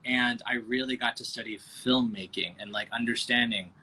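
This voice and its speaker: A man's voice: medium pitch, fairly even intonation, normal speed, sharp tone, breathy edge, rough recording. Loose-talking man cursing freely. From United States. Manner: loose, swears often, says "bro". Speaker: guest. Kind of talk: casual interview chat. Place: home over video call. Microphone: phone on a video call.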